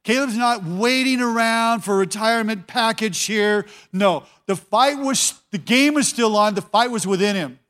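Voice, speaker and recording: Man, pastor, sermon, fairly high voice, conversational, repeats himself, tells listeners to watch. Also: moderate pace, few pauses, fairly bright tone.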